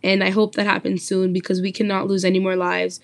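A woman's voice: mid-range voice, completely flat delivery, brisk, creaky, no echo. A young woman hosting a student discussion podcast, earnest and asking her guests questions. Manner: earnest, asks the panel questions. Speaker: host.

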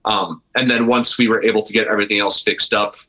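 Fast-talking, bright-voiced American man. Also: fairly low pitch, steady tone, rough recording. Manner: swears casually, rambling, repeats phrases. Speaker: brewery owner, podcast guest. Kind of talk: casual interview chat.

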